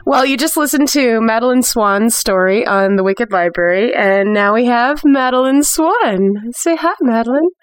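A woman's voice: a little high, moderate pace, few pauses, no room sound. A woman hosting a podcast interview in a casual, informal, giggly chat style.

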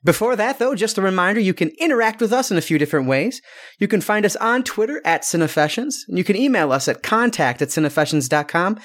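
A man with a high, lively voice co-hosting a podcast. He is upbeat and chatty and rattles through plugs and web addresses. He is just getting over a cold. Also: fast talker. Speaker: podcast co-host. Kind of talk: casual podcast chat and sponsor reads.

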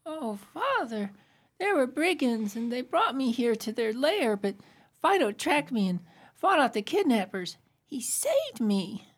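A woman's voice: on the high side, very animated, measured pace. A woman playing a small, imaginative boy in a radio play, talking in childlike make-believe. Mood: excited, insistent. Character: small boy, imaginative, devoted to his dog.

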